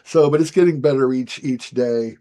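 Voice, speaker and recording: A man with a hoarse, raspy voice as he gets his voice back, hosting a casual, chatty walkthrough of building a scale model. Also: mid-range voice, moderate pace. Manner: relaxed, chatty, frequent "guys" and "um". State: hoarse, recovering from lost voice.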